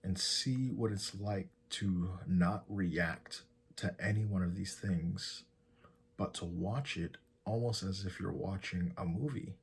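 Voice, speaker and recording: A man leading a guided meditation in a soft, breathy, husky voice, giving calm and gentle instructions with long pauses. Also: low-pitched.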